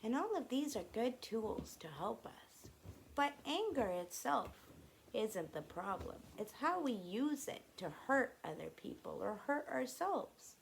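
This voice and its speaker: A woman reads aloud for young children in an unhurried, very expressive way, with simple teacherly phrasing. Her voice has some creak, and there is faint noise behind her. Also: a little high, low-quality recording. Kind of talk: expressive children's read-aloud and gentle explanation.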